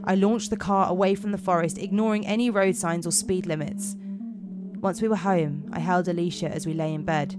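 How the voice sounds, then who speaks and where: A woman's voice: fairly low pitch, fairly even intonation, a little fast, over music, lightly acted. A woman putting on an American accent to narrate a frightened first-person horror story. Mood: tense, eerie. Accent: attempted American. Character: narrator of a creepy campfire forest monster story, frightened young American.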